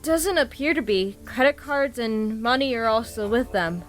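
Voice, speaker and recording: A woman's voice: on the high side, normal speed, faint music behind, lightly acted. A woman playing an observant police officer who reports matter-of-factly in a horror audio drama. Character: observant female crime scene police officer.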